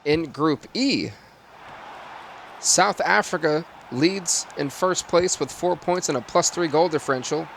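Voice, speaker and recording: A man with a raspy voice doing live football game commentary and stream chat in sportscaster phrasing. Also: on the high side, measured pace, a bit bright, a little hiss or hum.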